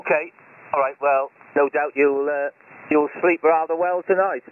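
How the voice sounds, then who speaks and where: A man's voice: fairly high pitch, steady tone, normal speed. A man chatting casually over amateur radio in a rambling, hesitant way. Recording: tinny ham radio signal with hiss. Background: radio hiss.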